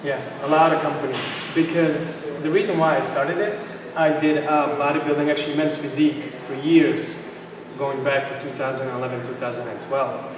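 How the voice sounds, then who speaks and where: A man's voice: fairly high pitch, flat delivery, normal speed. A middle-aged man with a Bosnian accent, a native Bosnian speaker, telling his story conversationally as an interview guest. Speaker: interview guest, supplement company founder. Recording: echoey hall, distant mic, noisy ambience.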